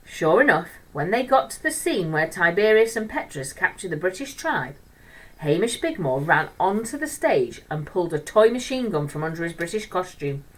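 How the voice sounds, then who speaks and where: A woman storyteller reading a children's book aloud in an expressive narrating style. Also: fairly low pitch, normal speed, recorded from a distance, low-quality recording.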